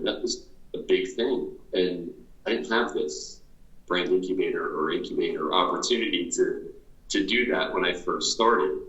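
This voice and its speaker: A man's voice: low voice, a bit bright, reverberant, lo-fi sound. A man interviewing a guest in an earnest, motivational way, asking questions over and over in slightly different words.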